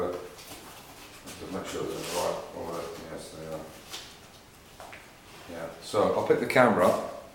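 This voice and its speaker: A middle-aged English man with an English accent, chatting casually about his car work with many fillers and repeated words. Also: deep voice. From England. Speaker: car restorer vlogger. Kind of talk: casual workshop vlog. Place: garage workshop. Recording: echoey garage with faint noise.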